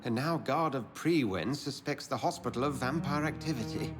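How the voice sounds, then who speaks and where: A man's voice: mid-range voice, normal speed, lightly acted. A man playing an anxious, stern hospital chief in a video game, speaking with urgent, admonishing earnestness over music. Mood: stern warning. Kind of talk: video game dialogue acting.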